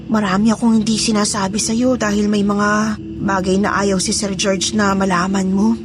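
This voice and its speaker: A woman's voice: medium pitch, level tone, normal speed, noisy background, natural voice. A woman playing a fearful, loyal housemaid in a Tagalog horror audio drama, confiding and deferential as she tells a suspenseful story. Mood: uneasy, guarded. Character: fearful, loyal housemaid revealing dark secrets.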